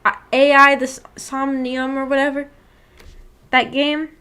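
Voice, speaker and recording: A woman with a fairly high voice hosts a casual livestream. She rambles in a giggly, self-deprecating way as she reads and answers comments from viewers. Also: level tone, measured pace, bright, forceful tone. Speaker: livestream host of a visual novel book club.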